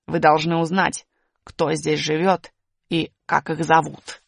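A woman voicing a mocking, mustached male trickster who gloats and jeers, in a low gravelly put-on voice. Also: level tone, slow pace, dry recording, studio quality, heavily acted. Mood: gloating. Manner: jeering, sly, crowing.

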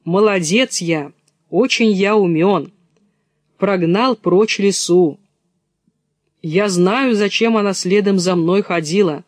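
A man voicing a menacing, boastful old wolf in a gruff, self-satisfied manner. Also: high-pitched, slow, long pauses, no room sound, studio quality. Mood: smug, scheming.

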